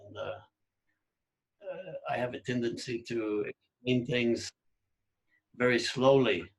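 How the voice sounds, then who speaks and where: A middle-aged man hosting a podcast, talking in a casual, halting way with many restarts. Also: mid-range voice, vocal fry, a little hiss or hum, poor audio. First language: English. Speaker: podcast host. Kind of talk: casual conversation.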